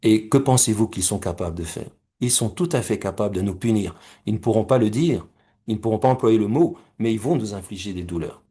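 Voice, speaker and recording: A middle-aged man from Belgium and Rwanda giving a recorded talk, measured and explanatory, with homely comparisons and questions to the listeners. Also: on the low side, expressive, warm tone, slightly rough. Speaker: speaker presenting his conflict-resolution method.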